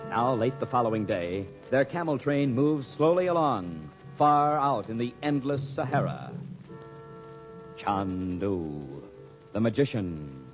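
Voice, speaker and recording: Animated American old-time radio announcer, man. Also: mid-range voice, slow, loud music behind the voice. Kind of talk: 1940s radio announcing, scripted ad and story recap. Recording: muffled old radio recording.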